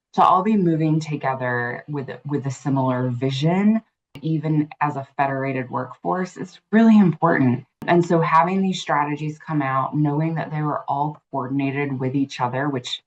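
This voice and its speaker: A woman in her thirties answering as an interview guest, earnest, fluent and measured. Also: rumbling low. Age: 30s. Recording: muffled lo-fi audio.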